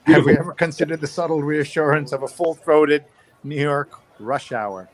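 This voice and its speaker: A man hosting a casual live review show, talking through a newspaper and reading bits aloud while thinking out loud. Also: medium pitch.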